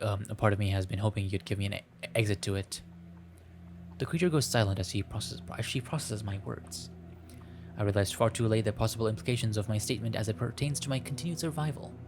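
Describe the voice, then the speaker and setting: A man doing a casual game playthrough, reading the story text aloud in a halting way, stumbling over words. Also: on the low side. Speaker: streamer playing a visual novel.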